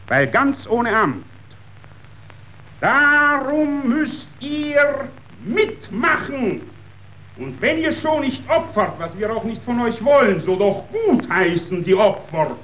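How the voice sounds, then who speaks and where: An actor playing a ruthless, grandiose meat tycoon in a declamatory, emphatic radio drama style. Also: male voice, sits high, full character voice. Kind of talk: 1930s epic theatre radio drama acting. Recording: dull, noisy old radio recording.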